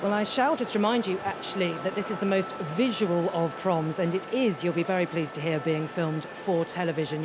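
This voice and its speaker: A woman presenting a live radio interview, chatty and enthusiastic in manner. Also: lowish voice. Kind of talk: live broadcast interview and commentary. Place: large concert hall during the interval. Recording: clear crowd and venue noise.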